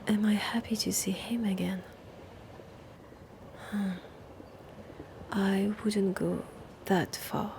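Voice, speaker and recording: A centuries-old vampire woman, played by a woman, whispers with a French accent in a husky, sick-sounding voice, teasing and languid. She drags every phrase out at a crawling pace over a gravelly, fry-laden edge. A clear hiss of noise sits behind her in this audio roleplay. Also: mid-range voice, level tone, slow, long pauses. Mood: sly.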